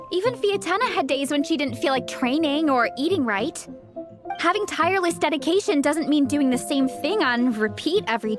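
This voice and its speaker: A woman voicing a spirited, encouraging young female fan in a video game, emphatic and upbeat. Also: high-pitched. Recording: music clearly under the voice.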